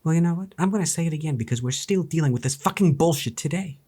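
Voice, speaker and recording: A man's voice: a little high, quick pace, mellow. A man from New Jersey reading an old political speech aloud and breaking into sarcastic, profane commentary. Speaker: YouTube history commentator.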